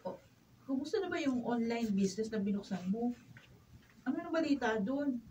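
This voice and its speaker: An actress playing a caring but exasperated younger sister in a Filipino radio drama, with a Filipino accent. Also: female voice, a little high, lively intonation, normal speed, dark tone, lightly acted. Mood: probing. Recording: distant mic, faint room noise.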